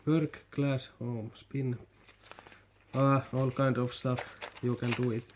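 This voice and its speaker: A Finnish man vlogging a toy unboxing in halting non-native English, hesitant and repeating his words. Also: mid-range voice, level tone, a little mellow, a little hiss or hum. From Finland. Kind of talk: casual unboxing commentary.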